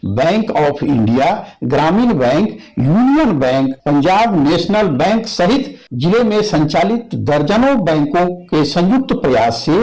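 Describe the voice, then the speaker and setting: Male correspondent, slightly high voice with lively swings in intonation, scripted radio report. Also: poor audio. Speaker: news correspondent.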